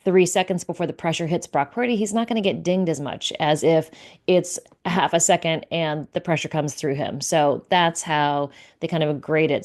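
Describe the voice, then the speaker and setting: A woman with a low voice talks through a football recap in a relaxed, conversational way, pausing on "um" and "you know" as she relays quotes. She reads grades and numbers off her notes between her own comments. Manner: conversational, many fillers, reads out stats and quotes. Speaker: sports reporter recapping team news. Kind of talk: casual solo recap to camera.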